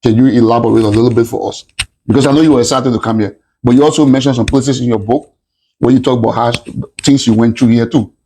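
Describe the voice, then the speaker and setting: A man's voice: medium pitch, steady tone, fast, dark tone, a little hiss or hum, low-quality recording. A male host who asks interview questions and restates them in a rambling way.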